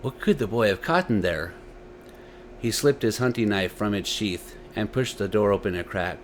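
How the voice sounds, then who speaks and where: A man's voice: fairly low pitch, moderate pace, a little hiss or hum. A man hosting a story series and narrating tales dramatically into a desktop microphone. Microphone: desktop USB microphone.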